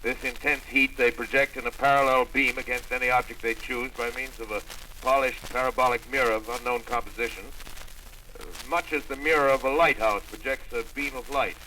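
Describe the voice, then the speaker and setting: An actor playing a learned, cautious professor explaining a weapon in a formal, measured way, in a scratchy 1930s radio drama. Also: male voice, mid-range voice, steady tone, bright, forceful tone, lightly acted. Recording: scratchy old radio recording, distant.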